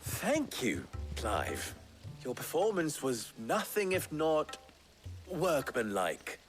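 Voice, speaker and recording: An actor playing an eloquent, wry, calculating town schemer in a video game, speaking in florid, measured phrases with dry wit. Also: male voice, mid-range voice, huge pitch swings, faint music behind. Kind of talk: video game cutscene acting.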